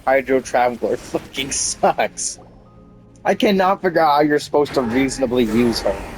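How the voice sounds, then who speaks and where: A man's voice: mid-range voice, normal speed. A man giving casual gameplay commentary in a blunt, sweary, grumbling way. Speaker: gamer streaming a playthrough. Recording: game music behind the voice. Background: video game music.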